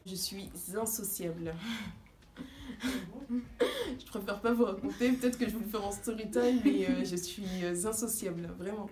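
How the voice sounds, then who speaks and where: A woman's voice: mid-range voice, normal speed, reverberant, a crowd in the background. A woman in her twenties, born in Guinea and raised in France, chatting casually and giggling as a vlogger. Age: 20s. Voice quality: giggly, rambling. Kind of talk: casual chat.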